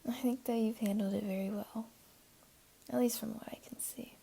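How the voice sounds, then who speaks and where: Casting: woman to play teasing, doting jaguar girl for ASMR roleplay; soft, mellow, whispery, slightly high voice. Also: steady tone, normal speed. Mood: gentle, admiring. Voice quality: soft, breathy, often whispery. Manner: teasing, cooing, soothing. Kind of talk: ASMR roleplay, intimate one-to-one.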